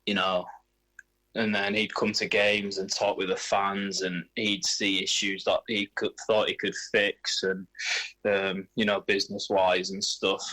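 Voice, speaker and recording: A man's voice: deep voice, level tone, measured pace, bright, forceful tone, rough recording. A man in his twenties, a footballer, answering questions in a casual radio interview. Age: 20s.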